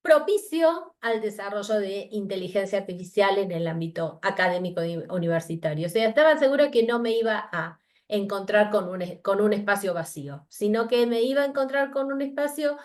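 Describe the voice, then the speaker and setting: A woman's voice: mid-range voice, lively intonation, a little fast. A woman from Argentina with an Argentine Spanish accent, giving an academic lecture by video conference and talking thoughtfully with fillers and restarts. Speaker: university researcher giving a talk.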